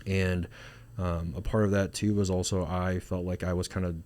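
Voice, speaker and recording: Low-voiced man, relaxed and rambling. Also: normal speed. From Singapore. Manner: relaxed, rambling with many fillers and restarts. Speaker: marriage and family therapist guest. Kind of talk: casual interview conversation.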